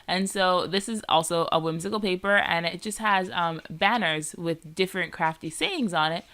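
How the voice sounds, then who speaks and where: A woman's voice: lowish voice, moderate pace, a bit bright. Nasal, congested woman chatting about crafts. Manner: chatty and rambling, often saying "like" and "um". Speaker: scrapbooking vlogger. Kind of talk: casual voice-over narrating a craft process.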